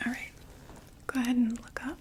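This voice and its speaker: A young woman voicing a chatty undead vampire makeup saleswoman in an ASMR roleplay, talking in soft whispered sales patter with fillers. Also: on the high side, level tone, fairly quick, background noise, natural voice. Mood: soothing.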